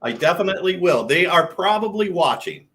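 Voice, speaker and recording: A man from Hawaii joins a chat show as a guest. His voice is bright and somewhat high, and his manner is upbeat and friendly. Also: expressive, fairly quick. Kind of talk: casual chat.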